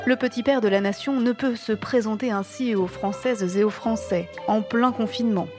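A woman's voice: on the high side, normal speed. Woman narrating over prominent music. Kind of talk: scripted narration of a satirical audio drama.

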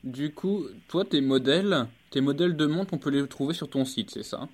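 A man's voice: a little high, moderate pace, a little mellow. Guy hosting an interview, laid back, asks easy questions. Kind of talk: casual interview.

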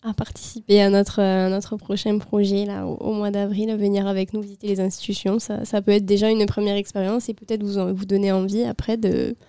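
A woman speaks as a radio guest with a soft, friendly manner. Her voice is mellow and level, with little rise and fall. The studio is dry. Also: mid-range voice, flat delivery, fairly quick, hardly any pauses, smooth, no echo. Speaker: communications officer, radio guest. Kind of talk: casual radio interview.

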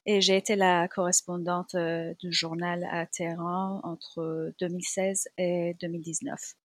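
Slow, husky woman with an Iranian accent. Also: lowish voice, flat delivery, no echo. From Iran. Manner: hesitant, searching for words. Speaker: journalist being interviewed. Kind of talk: reflective spoken interview testimony.

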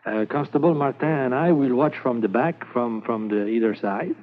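A man plays a charming, fearless French Mountie, his French accent thick and his English halting, stumbling over words with many pauses. He holds a middle pitch. The sound is thin and phone-like, from an old radio transcription. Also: slight background noise, natural voice. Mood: planning. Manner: halting broken English full of "uh". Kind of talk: 1950s radio drama acting.

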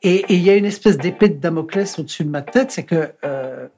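A man in his sixties giving a candid interview as an artist, speaking frankly and reflectively and often trailing off into pauses. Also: fairly high pitch, mellow, soft background music. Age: 60s.

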